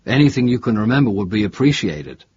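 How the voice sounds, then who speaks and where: A man voicing a polite, persistent male investigator in an audiobook, asking brisk, probing questions. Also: on the low side, sing-song, a little mellow, breathy edge, lo-fi sound, exaggerated voice. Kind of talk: audiobook character dialogue.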